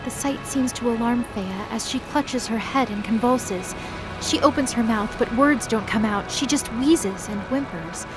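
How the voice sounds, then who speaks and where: Woman narrator, kinda high voice, expressive scripted storytelling for a fantasy drama, music playing behind. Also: a little slow, rough recording.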